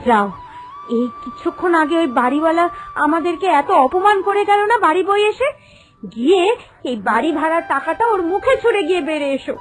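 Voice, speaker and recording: A woman voicing a melodramatic, excitable young wife in a cartoon, with an emphatic, plaintive delivery that repeats phrases. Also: very high voice, brisk, faint music behind. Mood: excited, bossy. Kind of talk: dramatic cartoon voice acting.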